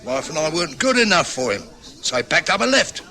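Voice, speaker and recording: An actor playing a surly, suspicious working-class husband, sneering and defensive in a radio drama. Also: male voice, fairly high pitch, sing-song, brisk, pushed and bright, full character voice. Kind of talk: old-time radio drama acting.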